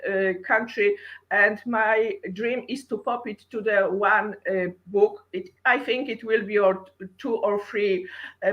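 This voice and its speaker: A middle-aged woman with a Polish accent talks in English, her second language. She is earnest and halting, often pausing to find her words. Her voice sits at a medium pitch. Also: lo-fi sound. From Poland. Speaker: guest poet and translator. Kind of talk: casual interview.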